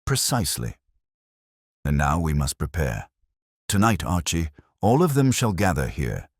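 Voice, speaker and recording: Man voicing a very deep, commanding detective genius. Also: big pitch swings, no echo, studio quality, full character voice. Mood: grave, decisive. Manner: grave, pompous, deliberate. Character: ponderous, commanding, heavyset older genius detective.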